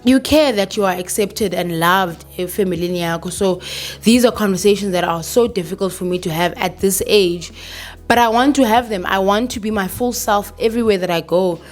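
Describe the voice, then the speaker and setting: A woman's voice: mid-range voice, a little fast. A South African woman in her thirties talking candidly to camera in a casual vlog, slipping in local words and swearing now and then. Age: 30s. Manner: candid, code-switching, swears, laughs. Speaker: vlogger.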